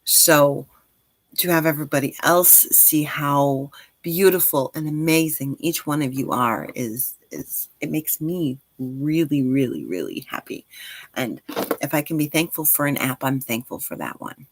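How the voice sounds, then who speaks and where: A woman's voice: low-pitched, animated, measured pace, no room sound. Encouraging woman in her forties, close dry sound. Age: 40s. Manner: encouraging, reassuring, chatty, directly addressing listeners. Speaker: livestream host and coach. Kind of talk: casual livestream chat.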